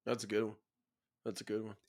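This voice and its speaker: A man's voice: fairly low pitch, monotone, fast talker, a little mellow. Earnest man, emphatic podcast host from Chile. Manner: earnest, emphatic, slangy "bro" and "dude". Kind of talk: casual motivational conversation.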